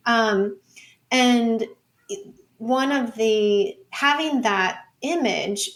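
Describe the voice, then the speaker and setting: A woman's voice: a little high, extremely slow, low-quality recording. A woman explaining things informally as an interview guest, thinking aloud with plenty of "like" and "you know". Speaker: interview guest, data scholar and teacher.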